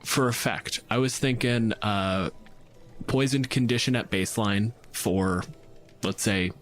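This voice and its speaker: A man's voice: lowish voice, a little slow, faint music behind. A man speaking informally as a game master, narrating scenes and ruling on play with casual swearing.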